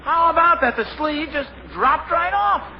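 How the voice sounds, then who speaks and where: A flustered, good-natured family dad in a 1950s radio sitcom, grumbling about his day with a chuckle. His voice sits high for a man. The sound is a dull old broadcast transcription with a faint hiss. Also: lively intonation, unhurried. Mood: exasperated. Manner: exasperated, chuckling, comic complaints. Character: flustered easygoing family father.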